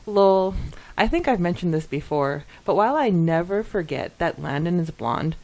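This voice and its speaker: A woman with a slightly low voice co-hosts a fan podcast. She speaks informally and agreeably, in the relaxed style of a casual discussion between fans reading out comments. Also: moderate pace, low-quality recording. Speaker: podcast co-host.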